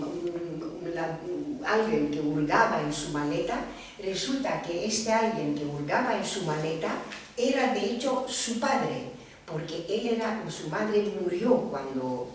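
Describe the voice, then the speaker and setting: Low-voiced woman rambling in an echoey hall. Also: a little mellow, a little hiss or hum. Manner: meandering, self-correcting retelling. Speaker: panelist. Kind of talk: round-table talk. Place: lecture room. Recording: echoey room from far off.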